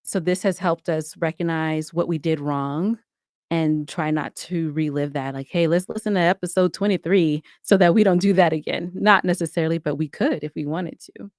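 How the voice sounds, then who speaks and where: A woman's voice: low voice, moderate pace, a little mellow, no echo. Articulate woman with a close, dry sound. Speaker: podcast guest, audio drama co-creator and writer. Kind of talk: conversational interview answers.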